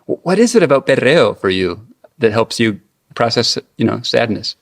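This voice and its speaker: A man's voice: medium pitch, big pitch swings, normal speed, gravelly. A man hosting a radio interview, asking questions as the interviewer. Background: music.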